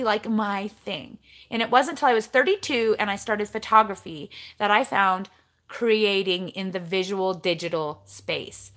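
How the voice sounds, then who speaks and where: A woman in her forties with a raspy voice, chatting casually to the camera as she answers viewer comments and rants a little, recorded up close in her bedroom. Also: mid-range voice. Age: 40s. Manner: animated, ranty. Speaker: vlogger. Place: bedroom at home, indoors. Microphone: camera close in front.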